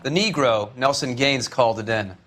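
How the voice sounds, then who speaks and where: A man's voice: mid-range voice, moderate pace, fairly bright tone, close to an everyday voice. A man playing a surly railyard policeman in a video game, talking bluntly.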